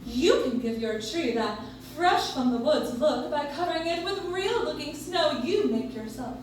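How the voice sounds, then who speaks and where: High, lively woman reading a vintage radio ad. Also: a little slow. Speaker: radio commercial spokeswoman. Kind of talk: 1940s radio ad read. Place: live stage in a coffee shop. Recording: very echoey room, distant, faint noise.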